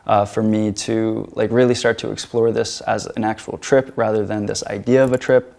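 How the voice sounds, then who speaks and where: A man's voice: lowish voice, flat delivery, normal speed, vocal fry, a little mellow. A young man from the United States, a featured artist answering interview questions in a hesitant way, with many fillers and restarts.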